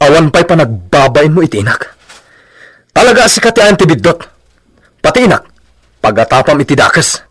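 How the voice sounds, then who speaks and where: A man playing an irritable, fed-up husband and grown son in a radio drama, gruff and exasperated. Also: a little high, big pitch swings, moderate pace, pauses often, a bit bright, heavily acted. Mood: furious.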